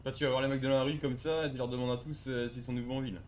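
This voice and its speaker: A man's voice: medium pitch, level tone, rapid-fire, slight background noise. A man talking casually in an online game, blunt, crude and mocking.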